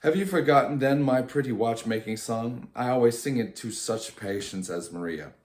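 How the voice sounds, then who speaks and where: A man's voice: medium pitch, moderate pace, darkish tone, reverberant, voice pushed up, close to an everyday voice. A man voicing an eccentric, teasing old watchmaker godfather in a storybook, with a droll, theatrical manner. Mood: teasing, courteous.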